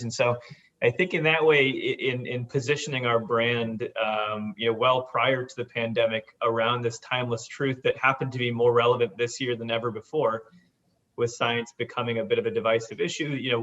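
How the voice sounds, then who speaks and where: A man's voice: medium pitch, a bit bright, lo-fi sound. A man, a company founder, speaking at length in a panel discussion, rambling with many repeated words.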